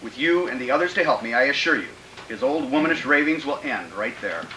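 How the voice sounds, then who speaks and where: An actor playing a megalomaniac, menacing political schemer in a radio drama, declaiming in a grandiose, theatrical way. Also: male voice, mid-range voice, expressive, fairly quick, bright, forceful tone, roomy sound, slight background noise, poor audio. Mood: smug. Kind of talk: old-time radio drama acting.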